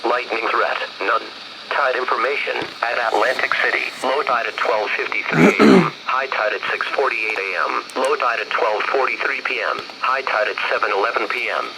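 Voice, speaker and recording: A synthetic-sounding man reads a scripted weather forecast over the radio in measured phrases. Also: medium pitch, animated. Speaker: automated weather radio announcer. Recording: weather radio broadcast with clear noise.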